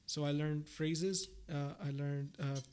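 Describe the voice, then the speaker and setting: The slightly high-voiced, talkative young guy from India, Gujarati his mother tongue, rephrasing his thoughts across the table on a student research podcast. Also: steady tone, slow, darkish tone, smooth. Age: young adult. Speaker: podcast guest, PhD researcher. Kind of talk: casual interview chat.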